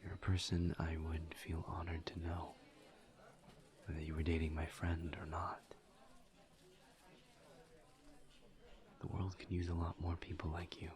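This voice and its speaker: A man playing a gentle, reserved incubus in an intimate audio roleplay, speaking softly and sincerely in a breathy near-whisper. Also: monotone, a crowd in the background, lightly acted. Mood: earnest, tender. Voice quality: breathy, soft, near-whispered, gravelly low voice. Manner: slow, halting, tender and sincere. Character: gentle, earnest, reserved male incubus demon friend. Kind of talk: intimate audio roleplay, one side of a conversation.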